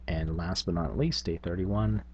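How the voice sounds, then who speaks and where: A man's voice: sits low, steady tone, unhurried, darkish tone, husky, dull audio. A middle-aged man talking informally and reflectively as a channel host, hesitating and thinking aloud as he goes. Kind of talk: casual reflective vlog on tabletop gaming.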